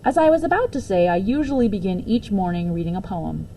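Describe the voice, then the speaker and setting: Storybook schoolteacher read by a woman: soft-edged tone, articulate, firm, kindly authority. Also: mid-range voice, sing-song, a little hiss or hum, low-quality recording. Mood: stern. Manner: measured, articulate classroom authority. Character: firm but kindly female second-grade schoolteacher.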